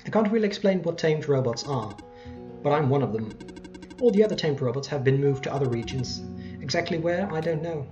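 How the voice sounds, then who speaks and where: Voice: mid-range voice, expressive, brisk, lo-fi sound, over music, natural voice. A let's player as a melancholy, reflective robot. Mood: reflective, resigned. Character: reflective, resigned tamed robot who plays chess.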